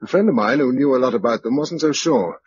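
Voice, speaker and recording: An actor playing a thoughtful, level-headed survivor in a British radio drama, speaking in a clipped, understated way with a British accent. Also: male voice, mid-range voice, quick pace, husky, natural voice. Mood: grave. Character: terse, thoughtful, level-headed male survivor narrator-hero. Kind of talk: mid-century British radio drama acting. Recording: dull, lo-fi old radio recording.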